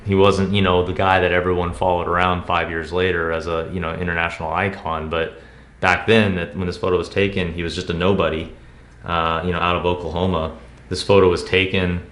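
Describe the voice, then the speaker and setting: American male presenter, low and even-toned, casual unscripted showcase delivery, recorded in an echoey room. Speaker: presenter of collectibles showcase videos. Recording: roomy, echoing indoor sound.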